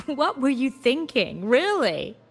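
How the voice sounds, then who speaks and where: An actress playing a kind young graduate student in a video game, gently teasing and earnest, with slight hesitation. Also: female voice, sits high, very animated, slow, slightly rough, faint music behind, full character voice. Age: young adult.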